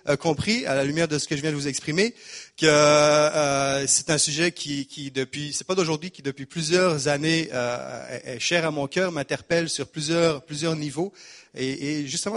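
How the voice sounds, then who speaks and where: Man, 40s, pastor, Swiss French with Québécois touches, chatty sermon, many hesitations, fairly high voice. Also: fairly bright tone. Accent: mixed Swiss French and Québécois. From Geneva, Switzerland. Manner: chatty and self-deprecating, frequent "euh" and word repeats.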